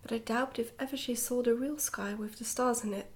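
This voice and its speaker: A woman reading a storybook in a soft-spoken ASMR style, slipping often into a whisper, with a gentle, hushed delivery. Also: a little high, steady tone, fairly quick. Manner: gentle, hushed delivery with some stumbles over words. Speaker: ASMR reader, channel host.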